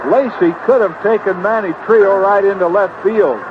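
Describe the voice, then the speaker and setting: A man's voice: high voice, normal speed, phone-quality sound. An American man calling baseball play-by-play live on a vintage radio broadcast. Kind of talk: live radio sports commentary. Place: outdoor ballpark broadcast booth. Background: loud noise and outdoor ambience.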